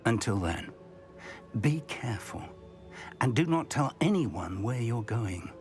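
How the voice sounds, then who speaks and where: A man's voice: mid-range voice, big pitch swings, unhurried, a little mellow, faint music behind. A man voicing a professor and mentor who speaks politely and earnestly.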